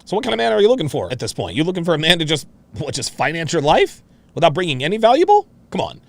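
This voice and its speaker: A man's voice: on the high side, huge pitch swings, fast, vocal fry. A man hosting a reaction show, commenting on a clip in a brash, mocking, profane and repetitive manner.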